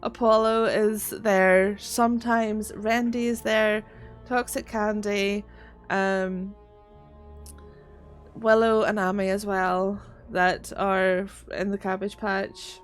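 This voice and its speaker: A woman's voice: medium pitch, flat delivery, slow, soft background music. A woman with a rural Northern Irish accent chatting on a gaming livestream, swearing freely and laughing often as she reads chat. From Northern Ireland. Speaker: streamer.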